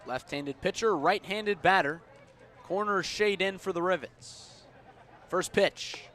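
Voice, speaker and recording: A man doing live radio play-by-play of baseball as the announcer, with a measured delivery that rises on the action. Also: sits high, a bit bright. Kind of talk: live radio sports commentary. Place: outdoors at a baseball stadium. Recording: outdoor ballpark ambience noise behind.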